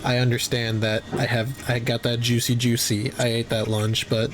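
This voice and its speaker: A man's voice: medium pitch, level tone, moderate pace, a little hiss or hum. A man giving casual, wry, sweary commentary and reactions on a horror series. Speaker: video essayist and reactor. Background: background music.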